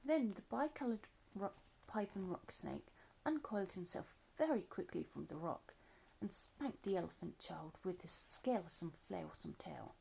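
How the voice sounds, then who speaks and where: A woman reading a classic children's story aloud, with expressive delivery and soft, hushed asides. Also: fairly low pitch, a little slow, vocal fry, hardly any pauses, slightly rough, rough recording. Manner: expressive, lively voices, drops to soft asides.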